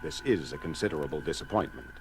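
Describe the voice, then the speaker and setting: An actor playing a logical, unflappable alien science officer, speaking formally and precisely in an audio drama. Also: male voice, rumbling low, expressive, quick pace, a little hiss or hum. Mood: measured, reserved. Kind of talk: dramatic audio theater acting. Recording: music under the voice.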